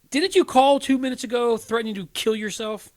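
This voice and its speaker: An actor playing an earnest, inexperienced young crisis counselor in a radio play, hesitant and pleading. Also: male voice, very high-pitched, moderate pace. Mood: shocked, indignant. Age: 20s.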